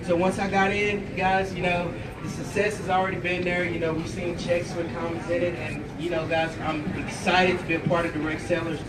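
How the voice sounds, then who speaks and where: Loud high-voiced man rallying a crowd. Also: level tone, brisk, few pauses, heavy background noise. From Oklahoma, USA. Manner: loud, rambling, keeps saying "guys". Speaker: event speaker giving a testimony. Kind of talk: upbeat sales testimony on stage. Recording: echoey hall, distant mic, loud background.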